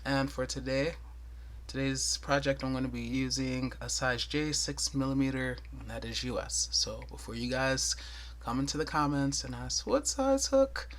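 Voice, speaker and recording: A man's voice: mid-range voice, normal speed, fairly bright tone. Soothing-voiced man teaching crochet. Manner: thorough, chatty, with light laughs and counting aloud. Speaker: crochet tutorial host. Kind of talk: casual step-by-step tutorial.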